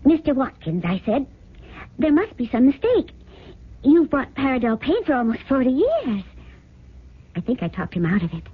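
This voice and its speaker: An actress playing a naive, trusting, genteel wife with a hesitant, fretful manner in a 1970s radio drama. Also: female voice, high voice, sing-song, normal speed, long pauses, husky, heavily acted. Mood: anxious, hesitant. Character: naive, trusting, anxious well-bred wife. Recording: muffled phone-like old radio recording.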